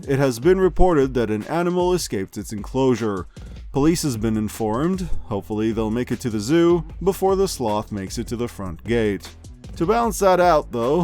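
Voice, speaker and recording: A man playing an unflappable local radio news anchor, reading parody bulletins in a measured, deadpan announcer delivery. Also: mid-range voice, natural voice. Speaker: host. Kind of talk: scripted news broadcast parody.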